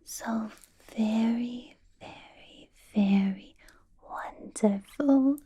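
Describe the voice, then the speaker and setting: A woman playing a possessive, menacingly sweet vampire boss in a whispered ASMR roleplay, coaxing and polite with drawn-out words. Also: fairly high pitch, dark tone, exaggerated voice. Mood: sweetly flattering. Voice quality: breathy whisper.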